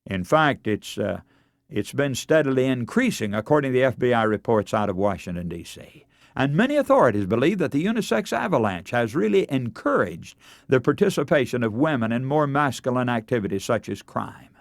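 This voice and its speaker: A man with an American accent preaching a prepared radio sermon in an earnest, emphatic, deliberate oratorical manner. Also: mid-range voice, sing-song, creaky, no room sound, studio quality.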